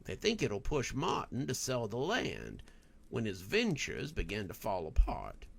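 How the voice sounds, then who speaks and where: An earnest, cautious man in a spooky story, played by a male narrator. He explains things gravely, with animated intonation and some gravel in his voice. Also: mid-range voice, unhurried, exaggerated voice. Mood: serious, cautious.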